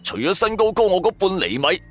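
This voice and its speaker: 男人扮演自戀串嘴嘅後生仔，粵語廣播劇腔，起伏好大. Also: male voice, sits high, big pitch swings, fairly quick, soft background music, pitched above the performer's own voice. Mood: scornful. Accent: Cantonese. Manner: showy, self-satisfied, teasing. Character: vain, boastful, cheeky young man, comic sidekick. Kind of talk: Cantonese audio drama acting.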